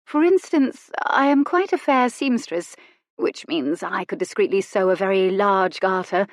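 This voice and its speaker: A woman's voice: medium pitch, animated, unhurried, no echo, clean studio sound. A woman playing a coaxing young Regency lady. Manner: coaxing, bright and lifted.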